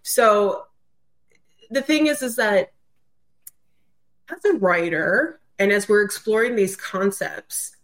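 A female podcast guest with a voice of average pitch. She chats casually and colloquially, stressing her points emphatically and checking that her listener is keeping up. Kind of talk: casual chat.

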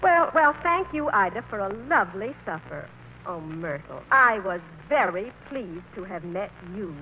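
An actress playing a sensible, reassuring middle-aged aunt in a vintage radio play, folksy and given to repeating herself. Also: female voice, medium pitch, big pitch swings, normal speed, bright, forceful tone, poor audio. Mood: chatty, effusive. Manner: reassuring, folksy, flustered repetitions. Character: soothing, sensible, chatty small-town aunt. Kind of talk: 1940s radio play acting. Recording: old radio broadcast with faint noise.

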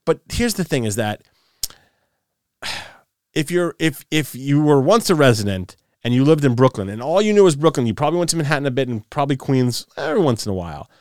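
A man's voice: medium pitch, very animated, fairly quick, dry recording. Ranting New man, dry sound. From New York City. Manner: ranting, many restarts and repeats. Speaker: podcast host. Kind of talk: casual chat.

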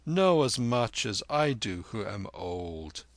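A man voicing a gentle, weary old Tibetan lama who speaks in solemn, measured, old-fashioned phrases. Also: fairly low pitch, sing-song, no room sound, heavily acted. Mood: serene, earnest.

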